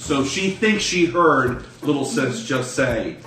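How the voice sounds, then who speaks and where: A man's voice: medium pitch, lively intonation, takes it slowly, a bit bright, a little hiss or hum. Man running a tabletop game, echoey room. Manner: profane, slangy, conversational. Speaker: game master. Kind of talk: casual improvised tabletop game narration. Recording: echoey room, distant mic, music underneath.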